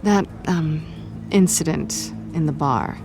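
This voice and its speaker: An actress playing a young, sardonic thief with a sly, flippant manner in a dramatic game. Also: female voice, low voice, slow, over music. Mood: sly, wry. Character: cocky, sardonic female thief, young adult.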